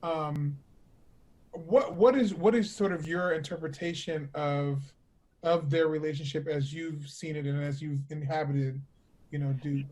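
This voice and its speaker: Higher-voiced man hosting an interview. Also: steady tone, unhurried, a little mellow, low-quality recording. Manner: affirming, eagerly agreeing. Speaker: interviewer, host. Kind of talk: conversational interview.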